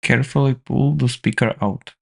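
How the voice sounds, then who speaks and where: A man's voice: medium pitch, fairly even intonation, normal speed, creaky. A man giving instructional, step-by-step narration as the presenter of a repair tutorial.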